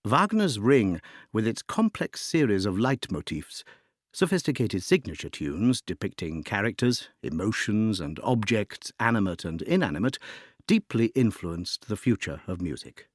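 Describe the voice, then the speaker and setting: A man narrates educational material in a fairly low voice that moves widely and expressively in pitch. His delivery is scripted, articulate and expository, shaped with rhetorical phrasing. The sound is dry, with very little room around the voice. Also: very animated, moderate pace, no echo. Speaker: narrator of a music introduction.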